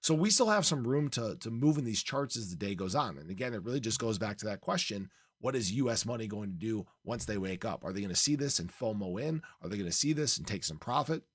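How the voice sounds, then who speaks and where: Male crypto commentator, slightly hoarse, upbeat and insistent, fairly low pitch. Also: animated, quick pace, hardly any pauses, dry recording, clean studio sound. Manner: upbeat, emphatic, leans on "again" and "right?". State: slightly hoarse, losing his voice. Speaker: crypto channel host. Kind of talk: casual market commentary to camera.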